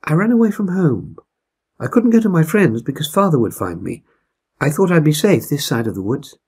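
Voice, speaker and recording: An older man voicing a shy, polite teenage runaway girl in a quiet, hesitant manner for a storybook reading. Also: on the high side, big pitch swings, normal speed, slightly rough, voice pushed up, full character voice. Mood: sad, guarded.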